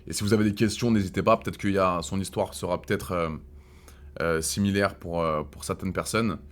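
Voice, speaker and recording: A man's voice: sits low, fairly even intonation, a little fast. A man hosting a live stream, chatting casually with a guest, hesitant with lots of fillers and some crude slang.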